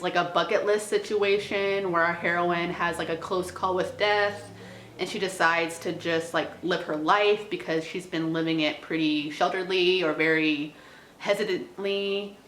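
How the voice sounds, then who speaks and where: A woman's voice: lowish voice, steady tone, measured pace, fairly bright tone, slight background noise. A woman book vlogger chatting casually to the camera in an informal, slangy, hedging way. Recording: roomy indoor echo.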